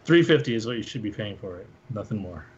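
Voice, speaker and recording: A man hosting a casual show-and-tell, thinking aloud in a halting way. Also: on the low side, animated, husky, highs cut off. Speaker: panel host, synth musician.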